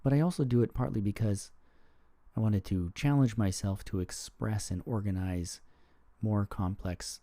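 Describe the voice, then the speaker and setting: A man with a low, deep voice hosts a podcast and talks reflectively. His tone is very dark and mellow. He weighs his words and sometimes stops mid-thought to find the right phrase. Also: fairly even intonation, moderate pace, dry recording. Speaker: podcast host.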